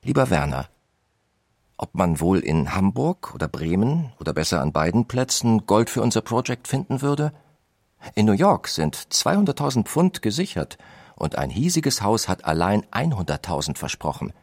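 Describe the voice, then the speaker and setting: A nineteenth-century industrialist pressing his brother by letter. The voice is very deep yet swings widely in pitch as he urges. It sits dry and close, with no room around it. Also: very animated, moderate pace, darkish tone, slightly rough, no room sound, full character voice. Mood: urging. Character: German industrialist brother writing urgent business letters. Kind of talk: reading historical letters in a radio feature.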